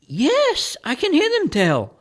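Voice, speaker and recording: A man's voice: medium pitch, huge pitch swings, slow pace, vocal fry, voice pushed up, full character voice. A man voicing Father Saul, a weary, kindly priest who speaks piously and sighs at his lot. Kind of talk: amateur play read aloud.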